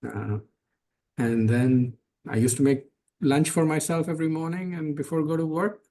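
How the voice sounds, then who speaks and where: A man's voice: fairly high pitch, fairly even intonation, normal speed, mellow. A middle-aged man on a webinar panel, talking earnestly in a casual way, often correcting himself and using many fillers. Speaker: startup CEO on a panel.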